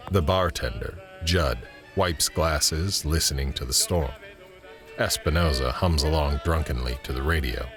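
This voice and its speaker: An actor as a narrator, reading scripted scene directions for an audio drama. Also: male voice, a bass voice, fairly bright tone, exaggerated voice.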